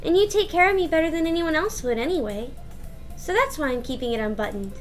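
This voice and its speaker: A woman's voice: sits high, animated, fast talker, faint music behind. A woman voicing a sweet, playful, childish teenage schoolgirl with a cutesy, teasing manner in a visual novel. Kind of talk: visual novel read-aloud.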